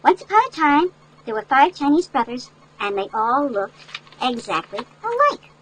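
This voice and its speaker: Older female storyteller for children's picture book: high-pitched, very animated, folksy asides, faint background noise. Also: normal speed, low-quality recording. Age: older, great-grandmother. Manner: folksy, explains words to young children. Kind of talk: animated read-aloud with chatty asides. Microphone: headset.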